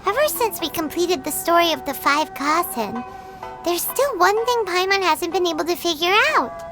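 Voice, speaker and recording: A woman voicing a small, childlike, excitable fairy companion in a video game, squeaky and blunt. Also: moderate pace. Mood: puzzled, indignant. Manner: exclamatory, speaks of herself in third person. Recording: music behind the voice.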